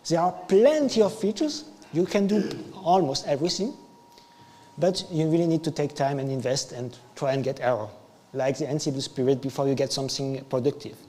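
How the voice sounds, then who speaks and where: A man's voice: on the high side, fairly quick, dark tone. A man whose first language is French gives an improvised, casual technical talk at a meetup, self-deprecating and often restarting his sentences. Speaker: meetup speaker. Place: meetup venue, large hall. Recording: live stream with long hall reverb.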